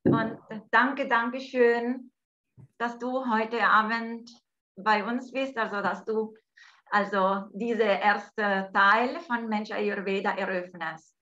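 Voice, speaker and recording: A woman from Italy with an Italian accent, hosting an online talk by video call from home, speaking slowly and hesitantly with many fillers. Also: fairly high pitch, steady tone, bright, forceful tone. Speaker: host. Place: home room, indoors. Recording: dull lo-fi video call audio.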